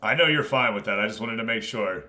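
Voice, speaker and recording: A man's voice: lowish voice, fast, bright, forceful tone. A man hosting an online football management game league, chatting casually and informally with his viewers as he commentates.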